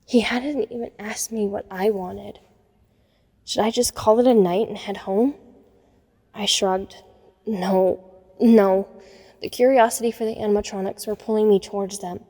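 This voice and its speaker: An introspective teenage girl narrator played by a woman, uneasy and reflective. She tells the story in measured phrases, with a mellow, mid-pitched voice. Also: steady tone. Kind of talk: first-person story narration in audio drama.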